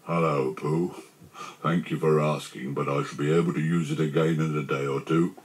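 A man voicing a gloomy, self-pitying old donkey in a children's storybook, speaking slowly and mournfully with lots of grumbling. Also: a bass voice, steady tone, voice pushed down. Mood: morose, grumbling. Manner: slow, mournful, complaining delivery.

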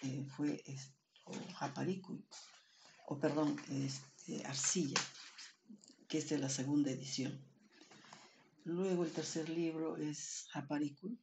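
An older woman poet with an Andean Peruvian accent and Quechua as her first language speaks slowly and solemnly. Her delivery is very even and unhurried, with some gravel in the voice. There is faint steady noise behind her. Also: deep voice. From Andean Peru. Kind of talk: introducing and reading own poetry. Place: small plain room indoors.

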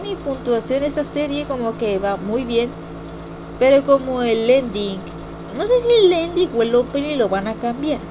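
A young woman speaks in a fairly high voice over clearly audible noise. She gives her opinions casually, like a homemade vlog, wandering and hesitating as she goes and often circling back to repeat a phrase. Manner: rambling, repetitive, hesitant. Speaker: amateur video reviewer. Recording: noisy amateur home computer recording.

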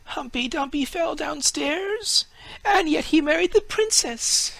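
A man voicing a naive, vain young male fir tree in a storybook reading, in a high, wondering, eager voice. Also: expressive, unhurried, vocal fry, fairly bright tone, no room sound, full character voice, low-quality recording. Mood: hopeful.

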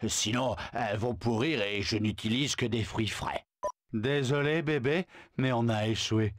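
A man's voice: medium pitch, measured pace, close to an everyday voice. An actor voicing a boastful, lovestruck male suitor who stammers when flustered. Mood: smitten. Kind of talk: video game dubbing.

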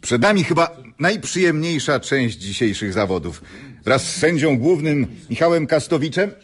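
An actor playing a genial, earnest tournament announcer in a radio drama, giving a ceremonial speech with slight hesitations. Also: male voice, on the high side, very animated, moderate pace. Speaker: master of ceremonies. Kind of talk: Polish radio drama acting.

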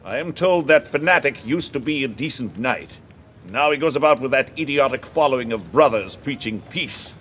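An actor in a historical audio drama playing a stern, pious and ruthless Templar marshal who speaks with formal authority. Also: male voice, medium pitch, expressive, moderate pace, a bit bright, a little hiss or hum, lightly acted. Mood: contemptuous.

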